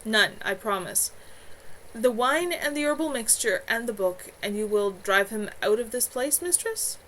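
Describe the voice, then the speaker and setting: A woman's voice: on the high side, animated, a bit bright. Woman reading as a formal, cunning demon, noisy recording. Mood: eager, bargaining. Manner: formal, deliberate, deferential bargaining. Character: male summoned demon, formal, cunning, eager bargainer. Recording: clearly noisy home livestream.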